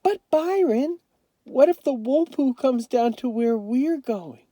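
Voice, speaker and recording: Man putting on a high, worried little girl. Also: animated, slow, dark tone, no echo, exaggerated voice. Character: worried, pleading little girl, younger sister.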